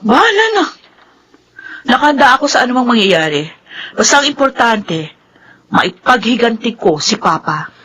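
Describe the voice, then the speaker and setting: A woman's voice: fairly high pitch, sing-song, normal speed, pauses often, pushed and bright, slightly rough, exaggerated voice. An actress playing a vengeful, defiant young woman in a Filipino radio drama, with a Filipino accent.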